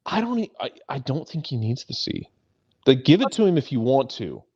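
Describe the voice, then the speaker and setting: A man's voice: medium pitch, sing-song, normal speed, darkish tone, husky, no echo. A man giving casual sports analysis as a pundit, making his points with repeated phrases for emphasis. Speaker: soccer pundit on a talk show. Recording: slightly dull, lo-fi audio.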